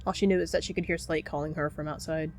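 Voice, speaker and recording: A woman's voice: low voice, fairly even intonation, quick pace, a little mellow, slight background noise. A woman reading scripted story narration for an animal fantasy roleplay.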